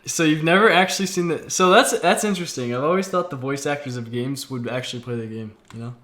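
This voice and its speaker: A man in his twenties from Massachusetts with an American accent, hosting a stream with excitable, slangy casual chat. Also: a little high, quick pace, roomy sound. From Massachusetts, United States. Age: 20s. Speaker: game streamer, host.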